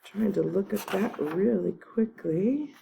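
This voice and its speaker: A woman's voice: mid-range voice, takes it slowly, gravelly, warm tone, a little hiss or hum, rough recording. A woman teacher reading a children's chapter book aloud, with chatty asides to young listeners. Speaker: first grade teacher.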